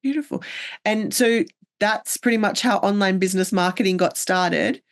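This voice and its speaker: An Australian woman hosts a podcast interview. Her voice sits at a middle pitch. She speaks warmly and effusively, with plenty of praise for her guest. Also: moderate pace. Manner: enthusiastic, effusive. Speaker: podcast host. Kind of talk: casual interview chat.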